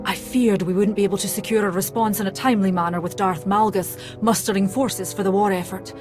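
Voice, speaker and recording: A self-assured female military researcher from a science fiction game, performed by a woman. Her medium-pitched voice is formal with a dry, teasing edge. Music plays clearly behind her. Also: fairly even intonation, a little fast, natural voice. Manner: formal yet wry and needling. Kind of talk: video game cutscene acting.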